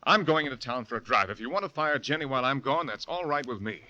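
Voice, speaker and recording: A young actor playing a guilty, panicking husband who narrates his own story in a halting, stammering way, in 1940s radio drama style. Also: mid-range voice, animated, lo-fi sound, lightly acted. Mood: tense. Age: young adult. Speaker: narrator and lead.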